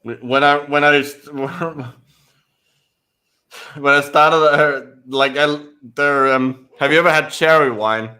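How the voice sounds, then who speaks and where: A man's voice: fairly high pitch, fairly even intonation, takes it slowly, bright, forceful tone. A man co-hosting a casual live stream, telling an anecdote with plenty of restarts. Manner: rambling anecdotes with many restarts. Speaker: co-host.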